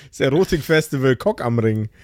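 A man's voice: medium pitch, expressive, brisk, a little mellow. A man acting as game master, improvising narration and joking banter in a casual way. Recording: music under the voice. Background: background music.

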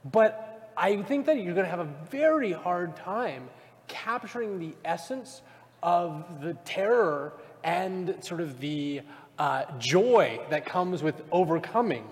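Dude on a live panel, kinda high voice, emphatic, echoey convention hall. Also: male voice, animated, slow.

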